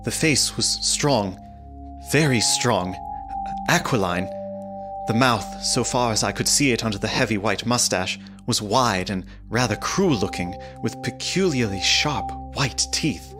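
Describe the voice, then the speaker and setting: A man's voice: lowish voice, lively intonation, unhurried, over music. An actor playing an earnest, apprehensive young English clerk narrating his journal in a gothic audio drama.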